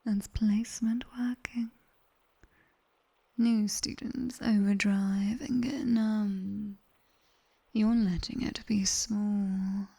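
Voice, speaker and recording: A British woman voicing a sharp-tongued, teasing demon tutor in a whispered ASMR roleplay, guiding the listener slowly, close to the microphone. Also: medium pitch, fairly even intonation, exaggerated voice. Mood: teasing, soothing. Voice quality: breathy, mostly whispered. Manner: slow, dry, teasing instruction. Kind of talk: ASMR roleplay, guided body scan. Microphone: close binaural microphone.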